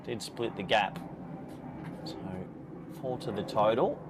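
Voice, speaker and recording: A man's voice: fairly low pitch, takes it slowly, noisy background. Aussie guy on cricket commentary, chatty, keeps cracking jokes with his co-host. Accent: Australian. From Australia. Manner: chatty and jokey, reads out viewer questions. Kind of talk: live sports commentary.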